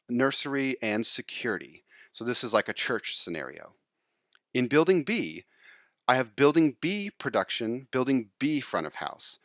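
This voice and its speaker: A man explaining software step by step in an informal screencast tutorial voice-over. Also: lowish voice, no room sound, clean studio sound.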